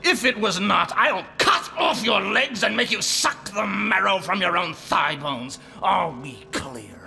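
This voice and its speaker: An actor playing a cold, cruel and imperious lord in a science-fiction audio drama, curt and contemptuous. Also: male voice, high-pitched, expressive, measured pace, vocal fry, over music, full character voice. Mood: angry, threatening.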